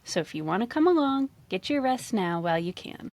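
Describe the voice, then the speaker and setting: A woman's voice: mid-range voice, big pitch swings, fairly quick, darkish tone, dry recording. A woman reading the part of a sceptical young female shopkeeper in a fantasy story, wry and questioning.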